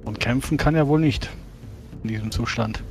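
A man with a mid-pitched voice plays a tabletop role-playing game in character. He words things formally and carefully. Small hesitant pauses break up his measured delivery. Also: soft background music.

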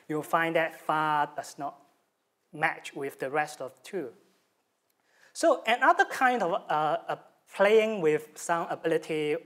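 A young man from Hong Kong with a Hong Kong accent, a native Cantonese speaker, giving an animated academic presentation at a lectern mic in a lecture room, with frequent fillers. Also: a little high. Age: young adult. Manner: animated, gestures with hands, many fillers. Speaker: student presenter. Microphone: stand mic at lectern.

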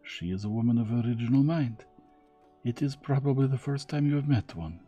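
A man voicing a shrewd, fatherly detective in an audiobook, with measured, emphatic, philosophical phrasing. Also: medium pitch, lively intonation, dark tone, breathy edge, faint music behind, voice pushed up. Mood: twinkling, certain.

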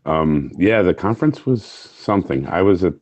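A man's voice: sits low, animated, moderate pace, a little mellow, lo-fi sound. A middle-aged man from New York State, a guest on a video call through earphones, candid and jokey. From Orange County, New York. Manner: jokey, candid, laughs easily. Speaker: guest, firefighter. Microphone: earphone headset on video call.

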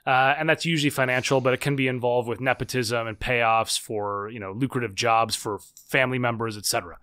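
A man's voice: medium pitch, fairly even intonation, brisk, fairly bright tone, clear, resonant tone, no room sound, studio quality. A man, an author and political scientist, answering interview questions in an articulate, earnest way with frequent fillers. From United States.

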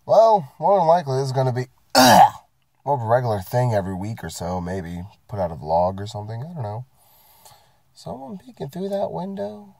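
A man's voice: mid-range voice, animated, moderate pace, vocal fry, mellow, no room sound, studio quality. A man, getting sick, ranting casually and profanely about his work week from inside his parked car. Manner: profane, rambling, self-mocking. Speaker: vlogger. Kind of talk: casual rant to camera.

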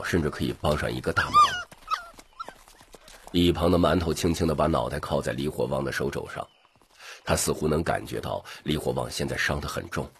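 A man with a rough voice narrating a scripted audiobook story. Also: rumbling low, slight background noise.

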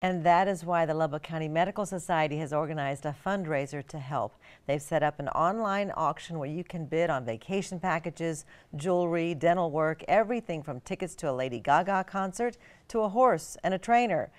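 A woman's voice: deep voice, brisk. A middle-aged American woman reading scripted TV news narration as an anchor and reporter.

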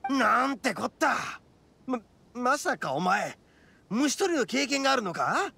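A man voicing a boisterous, cocky young male oni in an anime-style game, with brash, rough, boastful speech. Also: very high-pitched, very animated, unhurried, bright, forceful tone, heavily acted. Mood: impressed, proud. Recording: studio voice over game music. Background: background music.

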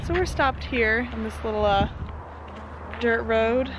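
A young American woman vlogging a road trip on a camera held at arm's length, excitable and chatty. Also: fairly high pitch, unhurried, noisy background. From United States. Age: young adult. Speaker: travel vlogger. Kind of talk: casual travel vlog. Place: outdoors and in a van and restaurant. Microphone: handheld camera at arm's length.

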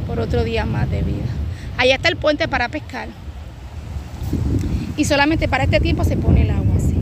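A woman with a high voice and a Puerto Rican accent talks casually, like a live travel vlog. She repeats phrases admiringly. It is a phone recording out in the open, with very loud wind noise over her. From Puerto Rico. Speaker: travel vlogger and local travel agent. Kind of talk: casual live walking chat. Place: open beach by the sea, outdoors. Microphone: handheld phone.

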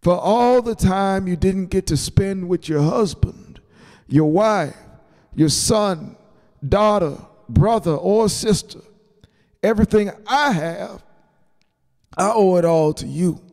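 A high, gravelly old grandfather performed by a man reading a storybook speaks in a big ringing reverb. He is sentimental and grateful, with a slow, halting, tender delivery. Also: heavily acted. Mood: heartfelt. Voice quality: creaky. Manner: halting, tender, soft-spoken. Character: elderly grandfather giving a sentimental, grateful retirement speech. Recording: long hall reverb on the voice.

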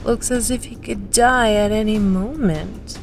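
A woman's voice: medium pitch, a little slow, faint music behind, close to an everyday voice. A woman playing a smug, manipulative young female student in an audio drama, drawling and condescending as she taunts.

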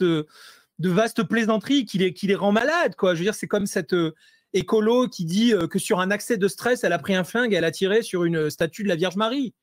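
A man speaking as an interview guest over a video call, hesitant and full of fillers and restarts, growing animated when he presses a point. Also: high-pitched, no echo, studio quality. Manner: animated, rising intensity when making points. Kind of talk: conversational interview analysis.

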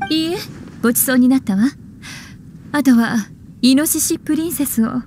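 A woman voicing an elegant, teasing witch librarian in a video game, speaking in an unhurried, coquettish way. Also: high voice, over music, natural voice. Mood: composed, languid. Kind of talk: Japanese video game voice acting.

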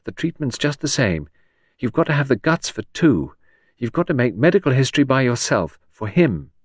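A man's voice: fairly low pitch, expressive, normal speed, no room sound, clean studio sound. A man voicing an earnest, kindly woman in an audiobook, polite and emotional in manner. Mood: pleading, urgent. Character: earnest, kindly, grieving woman, friend of the late doctor. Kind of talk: audiobook character dialogue.

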